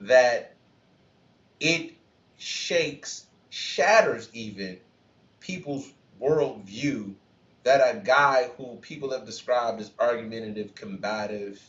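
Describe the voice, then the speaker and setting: A man's voice: mid-range voice, slow pace, fairly bright tone. Man musing in a roomy lo-fi recording. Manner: thoughtful, restarts often, leans on "you know". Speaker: podcast co-host. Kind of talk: casual reaction podcast chat. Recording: roomy, dull lo-fi sound.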